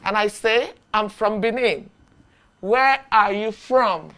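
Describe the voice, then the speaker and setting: A woman teaching a beginner English lesson, speaking deliberately and repeating short phrases for learners to echo. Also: medium pitch, sharp tone.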